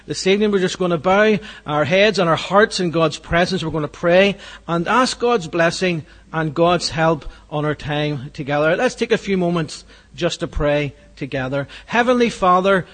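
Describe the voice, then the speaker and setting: Church host, man, higher voice, earnest with fillers, leading welcome and prayer. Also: fairly bright tone. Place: church hall.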